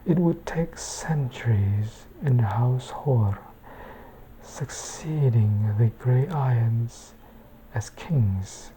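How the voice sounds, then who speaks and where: Female ASMR reader for bedtime book narration: very deep, extremely dark and mellow, hushed and near whispering. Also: a little hiss or hum, poor audio. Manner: hushed, soft-spoken, often slipping into whisper.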